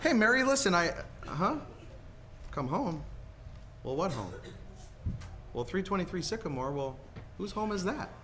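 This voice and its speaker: A man's voice: sits high, big pitch swings, unhurried, long pauses, pushed and bright, slight background noise, full character voice. A man acting an earnest, hesitant young family man in a 1940s-style radio play, stammering in a folksy way. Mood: flustered.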